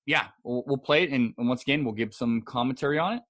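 A man's voice: mid-range voice, fast talker, a bit bright. Man hosting a casual podcast. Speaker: podcast host. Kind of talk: casual podcast discussion introducing audio clips.

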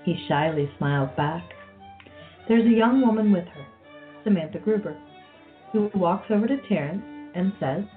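A woman's voice: fairly low pitch, normal speed. A woman reading scripted narration for a radio play. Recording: phone-like audio with faint music.

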